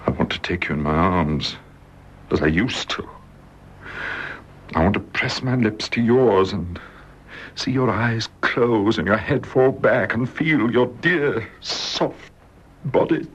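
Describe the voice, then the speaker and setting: A British actor playing a bedridden, despairing young husband in a radio drama, halting and tender. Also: male voice, lowish voice, fairly bright tone, breathy edge, exaggerated voice. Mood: anguished longing. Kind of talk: BBC radio drama acting.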